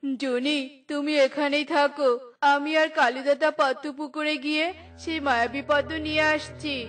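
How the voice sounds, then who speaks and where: Woman voicing a high, caring cartoon bird. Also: steady tone, moderate pace, a bit bright. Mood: concerned. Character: helpful, concerned bird friend. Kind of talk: children's cartoon dialogue.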